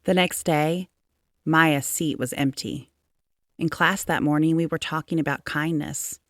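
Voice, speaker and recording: A woman reading a children's story aloud as narrator, in a measured, expressive storytelling manner. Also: deep voice, no room sound, clean studio sound. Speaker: storybook reader.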